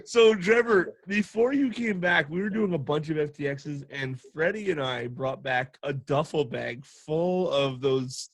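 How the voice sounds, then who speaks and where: A man hosting a casual chat, telling stories in a jokey, animated way and prone to laughing. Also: a little high, measured pace, few pauses, no echo. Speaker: podcast host and military veteran telling stories.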